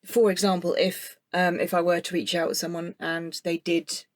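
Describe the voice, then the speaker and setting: A podcast host asking interview questions with careful, measured phrasing. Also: medium pitch, little pitch movement, no echo, studio quality.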